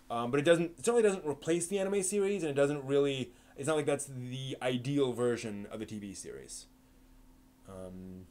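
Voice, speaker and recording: Rambling man hosting a live stream. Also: medium pitch. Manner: rambling, thinking aloud with many ums and restarts. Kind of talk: casual live-stream chat answering chat questions.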